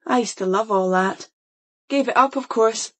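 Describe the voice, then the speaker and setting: A brittle teenage girl, acted by a woman, evasive and flippant. Her voice is fairly high and husky, in a naturalistic radio drama style. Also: moderate pace, lightly acted. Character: troubled fourteen-year-old girl, brittle, evasive, flippant.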